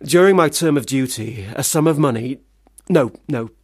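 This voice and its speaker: An actor in a radio play, a man, playing a failed, self-pitying young gentleman writer with formal, wordy diction. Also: medium pitch, normal speed, no room sound, studio quality, close to an everyday voice. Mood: pompous, morose. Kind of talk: radio play monologue and dialogue.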